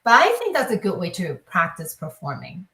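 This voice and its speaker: A woman's voice: fairly low pitch, wildly swooping pitch, moderate pace, bright, forceful tone. A woman with a Taiwanese accent talking reflectively as an interview guest, explaining things with repeated words and phrases. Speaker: classical pianist, teacher, interview guest. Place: online video discussion, voice in an echoey room. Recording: echoey room sound.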